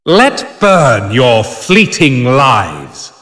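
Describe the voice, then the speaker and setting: An actor playing an imperious, solemn god of time in a fantasy video game, declaiming in a grand, measured, theatrical way. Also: male voice, medium pitch, huge pitch swings, a bit bright, heavily acted. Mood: imperious, commanding. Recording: long reverberant hall effect.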